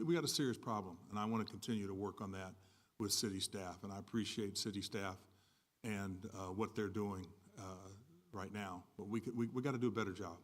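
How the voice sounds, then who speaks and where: A man with a somewhat low voice serving as a council member. He speaks earnestly and plainly, with folksy phrasing and stumbles where he repeats words. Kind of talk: public remarks at a community meeting.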